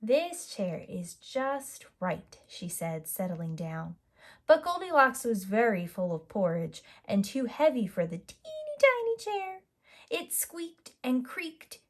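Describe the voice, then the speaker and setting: A woman telling a story to preschoolers in an expressive, emphatic way. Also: sits high. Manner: emphatic, draws out size words. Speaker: children's storyteller.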